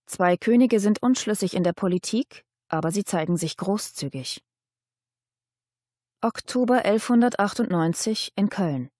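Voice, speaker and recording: Low-voiced woman narrator, dry studio sound. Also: a little mellow, no room sound. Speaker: audiobook narrator. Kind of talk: scripted literary narration.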